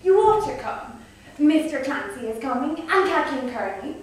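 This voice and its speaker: A woman playing a needling Irish nationalist, high and lively. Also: moderate pace. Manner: teasing, needling, insistent. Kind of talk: stage acting. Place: large museum room. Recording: echoey hall, mic far off.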